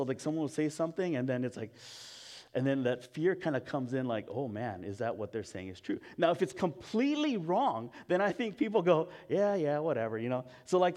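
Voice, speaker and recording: A man's voice: on the high side, big pitch swings, darkish tone. A man from Hawaii, a pastor, gives a conversational sermon with personal stories. He laughs at himself often and restarts his sentences a lot. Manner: chatty and self-mocking, laughs often at himself; halting, frequent restarts and fillers.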